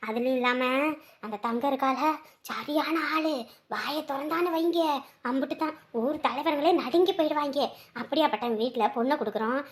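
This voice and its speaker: A woman's voice: high-pitched, normal speed, lightly acted. A woman playing a gossipy, scolding mother-in-law in a family drama, with a rural Tamil Nadu accent, complaining through rhetorical questions. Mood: scandalised gossip. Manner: complaining, rhetorical questions, rustic turns of phrase.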